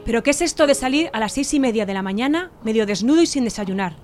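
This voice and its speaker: A stern, prying mother, voiced by a woman, fires off questions in a high, scolding voice. Her pitch leaps and swoops, with faint music behind her. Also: animated, quick pace, darkish tone, lightly acted. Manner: scolding, interrogating. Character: stern nosy mother, female inspector.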